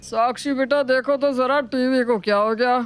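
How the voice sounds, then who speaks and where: A man's voice: very high voice, fairly even intonation, moderate pace, clean tone. A grumbling older Indian father-in-law from a cartoon family tale. He is thrifty and easily exasperated, and scolds in exclamations. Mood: irritated. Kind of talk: Hindi animated moral story acting.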